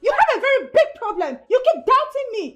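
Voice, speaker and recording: A woman playing a fiery, shrill girlfriend. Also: sing-song, fairly quick, husky, soft background music, full character voice. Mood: angry. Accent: Nigerian. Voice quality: shrill, indignant. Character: fiery young woman confronting her jealous boyfriend. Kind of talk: comedy skit acting.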